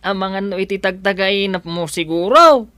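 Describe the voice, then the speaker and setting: A man playing a childlike, slow-witted village simpleton who drawls and teases in an Ilocano radio comedy drama. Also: high voice, bright, forceful tone, no echo, heavily acted.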